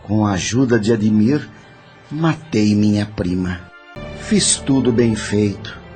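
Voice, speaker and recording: Guy playing a greedy brazilian schemer, dead and confessing, cold calculating, mid-pitched voice, faint music behind, audio drama. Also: a little mellow, lightly acted. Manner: matter-of-fact, calculating.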